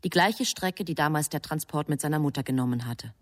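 An actress as a composed female storyteller, giving deliberate scripted narration in a literary radio play. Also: deep voice, lightly acted.

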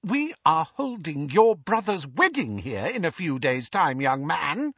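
A man voicing a bossy, busy middle-aged mother who gives brisk orders. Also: a little high, very animated, fairly bright tone, breathy edge, dry recording, pitched above the performer's own voice, heavily acted. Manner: brisk, commanding. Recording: dry, dull lo-fi audio.

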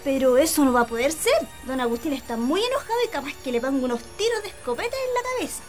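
Nervous chilean maid in a radio drama, high swoopy voice, flustered and deferential, music under it. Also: female voice, animated, fast. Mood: wary. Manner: anxious, exclamatory. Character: flustered, deferential hacienda housekeeper. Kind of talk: Spanish-language radio drama acting.

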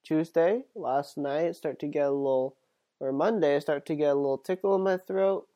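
A man's voice: a little high, normal speed, dark tone. A man hosting his own sports podcast while sick and sniffly, talking casually and rambling with plenty of fillers and restarts. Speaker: podcast host.

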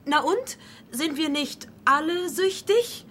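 A woman's voice: sits high, expressive, slow pace, a bit bright, a little hiss or hum, heavily acted. An actress playing a desperate, naive, single-minded female customer in a radio play, pleading and insistent.

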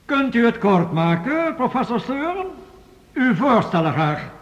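A man's voice: very high voice, big pitch swings, measured pace, highs cut off, heavily acted. An actor playing a formal, authoritative chairman who keeps order at an assembly in a radio drama. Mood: firm, impatient. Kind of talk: Dutch radio drama acting, formal speech. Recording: long reverberant hall.

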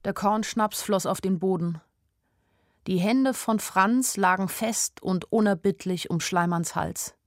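A woman's voice: mid-range voice, no echo, studio quality. Anxious young woman, intimate radio-play narration. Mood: grave, tender. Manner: intimate inner monologue in short plain sentences. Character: anxious young woman narrating her flight, inwardly urgent. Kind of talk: literary radio play narration in present tense.

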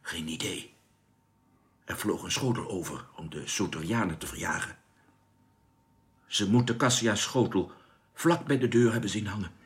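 An actor playing an anxious but commanding spaceship captain in a science fiction radio drama, giving urgent, clipped orders. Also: male voice, lowish voice, normal speed, pauses often, close to an everyday voice. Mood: tense, commanding.

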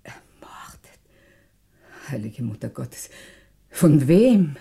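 A woman's voice: extremely low pitch, sing-song, takes it slowly, vocal fry, warm tone, full character voice. Theatrical, swooping, talkative French landlady. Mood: shocked, grieving. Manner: garrulous, dramatic, easily affronted. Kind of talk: 1960s German radio play acting.

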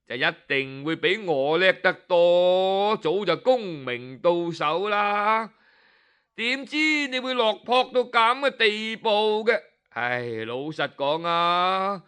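A man voicing a smug, powerful male minister who drawls with slow mockery. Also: high voice, bright, forceful tone, clear, resonant tone, heavily acted. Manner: drawling, mocking, sarcastic.

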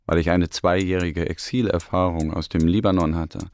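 A man acting in a radio drama as a depressed Syrian exile, recounting refugee life in a creaky, measured, matter-of-fact way. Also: low-pitched, little pitch movement, darkish tone, close to an everyday voice. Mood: sober, reflective. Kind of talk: scripted radio drama monologue.

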